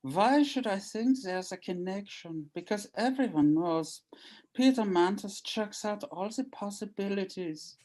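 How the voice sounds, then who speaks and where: A woman reading the inner monologue of a brooding, self-assured comic-book investigator in a deliberate, ominous way. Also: mid-range voice, animated, dark tone, natural voice. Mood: menacing, confident.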